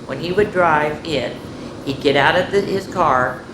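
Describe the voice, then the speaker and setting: Echoey, expressive older woman telling stories. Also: low voice, unhurried. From rural United States, farm. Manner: expressive, folksy, addressing children directly. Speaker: children's storyteller and host. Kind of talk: read-aloud storytime with chatty asides. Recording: echoey room with background noise.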